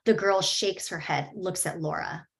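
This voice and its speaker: A woman narrates the stage directions of a screenplay in a steady, measured way. Her voice sits slightly low. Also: vocal fry. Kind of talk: screenplay table read narration.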